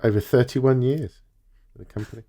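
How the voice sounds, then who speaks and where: A man's voice: mid-range voice, fairly quick, mellow. A man hosting a podcast, switching between casual chat and reading out news.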